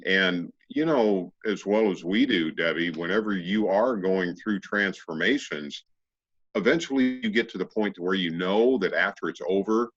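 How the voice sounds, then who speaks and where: A man's voice: deep voice, moderate pace, fairly bright tone, husky, no echo. A man co-hosting a casual spiritual discussion, reflective and searching a little for his words. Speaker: radio show co-host. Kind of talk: casual conversational talk about spirituality. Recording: dull, lo-fi audio.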